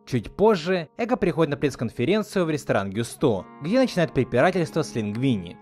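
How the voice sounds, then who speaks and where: Animated man narrating a video essay. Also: fairly high pitch, a little fast, faint music behind. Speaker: video host.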